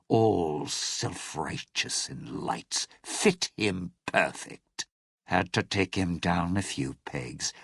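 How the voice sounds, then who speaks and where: Low, gravelly, sneering schemer. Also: male voice, no echo, clean studio sound, full character voice. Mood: scornful, bitter. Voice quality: husky, gravelly. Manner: sardonic, theatrical, sneering. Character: bitter, scheming male lounge singer and thief, smug and vengeful. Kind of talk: video game character dialogue.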